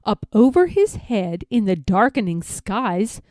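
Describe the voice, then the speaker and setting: Slow, very animated woman storyteller. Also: mid-range voice, no room sound, clean studio sound. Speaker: storybook narrator. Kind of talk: expressive rhyming children's read-aloud.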